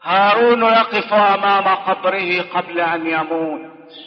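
A man preacher giving an impassioned, rhythmic sermon that rises to shouted calls to the congregation. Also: high voice, flat delivery, measured pace. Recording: echoey hall, dull sound, faint crowd.

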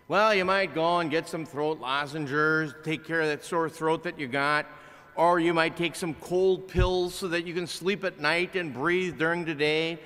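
An older man preaches from a pulpit in a large chapel, talking to the congregation in a plain, conversational way. His voice sits a little high and sounds bright and forceful. The pulpit mic catches a long reverb that hangs in the room. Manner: conversational preaching with animated swings from loud to hushed. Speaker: pastor. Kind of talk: sermon. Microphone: pulpit microphone, filmed by a far camera.

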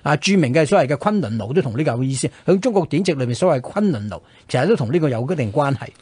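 A male radio co-host with a Hong Kong Cantonese accent recounts history in a conversational way. His voice sits a little high and is husky, and he talks rapidly. The sound is dry and close. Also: animated, darkish tone, dry recording.

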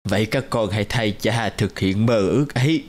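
Cartoon king, elderly, gentle and wistful, low solemn delivery male actor. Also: moderate pace, lightly acted. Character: old ailing king, wistful and gentle father.

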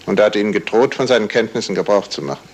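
An actor playing a composed, probing male detective in a 1970s radio crime play, asking formal, measured, pointed questions in a gravelly voice. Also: lowish voice, a little mellow, natural voice. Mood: matter-of-fact, pointed. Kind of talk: 1970s German radio crime drama acting.

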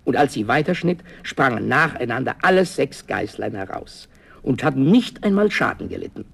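Creaky, husky male storyteller. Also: medium pitch, moderate pace. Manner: expressive, theatrical. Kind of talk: dramatic scripted fairy-tale narration.

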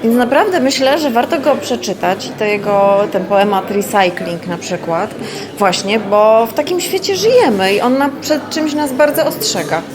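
A woman's voice: a little high, animated, moderate pace. Woman talking over crowd chatter. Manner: thoughtful, hesitant, many fillers. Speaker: festival curator, interviewee. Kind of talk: spontaneous interview answer.

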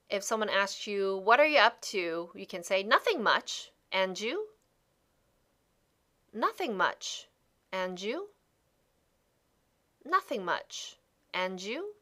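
Bright-voiced woman teaching English phrases. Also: mid-range voice. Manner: models phrases slowly and repeats them for practice. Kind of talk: slide lesson voice-over with modeled phrases.

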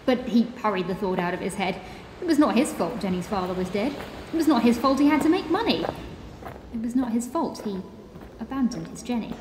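A woman's voice: fairly high pitch, expressive, fairly quick. Echoey woman narrating a theatrical tale. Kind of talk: theatrical rhyming story narration. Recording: echoey, long reverb, background ambience noise.

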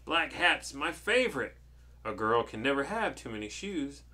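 A woman voicing theatrical, excitable witches from a picture book with exaggerated delivery. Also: very deep voice, moderate pace, heavily acted. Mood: delighted. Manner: wailing, exaggerated complaining. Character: grumbling, theatrical witches in a rained-on parade.

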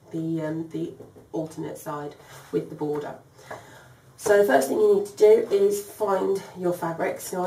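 A low-pitched woman in her thirties hosts a home sewing tutorial, her voice still rough from a cold. She chats casually and keeps filling gaps with ums, heard from a little way off in an echoey room. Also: warm tone, a little hiss or hum. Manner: chatty, many ums, apologetic. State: recovering from a cold, voice strained. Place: home dining room by garden windows. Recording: echoey room, slightly distant mic.

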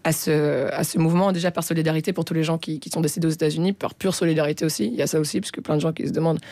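A woman's voice: deep voice, steady tone, rapid-fire, dark tone, no echo. A young woman whose first language is not French, a guest answering interview questions in a radio studio with many fillers and restarts. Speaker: guest musician. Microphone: studio mic, headphones.

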